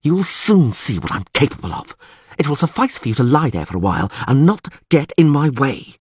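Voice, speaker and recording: A man's voice: medium pitch, sing-song, a little fast, a little mellow, husky, no echo, studio quality, voice pushed up. A man voicing an imperious, ruthless sorceress in an audiobook, clipped and commanding through gritted teeth. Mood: menacing. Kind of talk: audiobook character voice.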